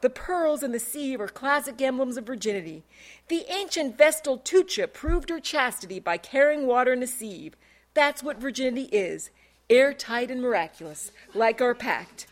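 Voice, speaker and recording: A woman's voice: high voice, animated, normal speed. A woman voicing an earnest, beefy middle-aged father who lectures solemnly.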